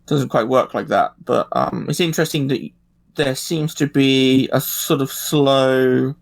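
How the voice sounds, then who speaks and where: Male panelist, gravelly mid-pitched voice, halting and conversational. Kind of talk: casual political discussion.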